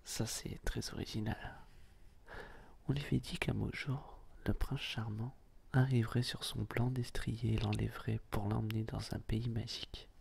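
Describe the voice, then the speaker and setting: A man's voice: on the low side, flat delivery, normal speed, a little mellow. A man whispering intimately to the listener in a casual ASMR style, with a playful, teasing manner. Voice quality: breathy whisper. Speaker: ASMR video host.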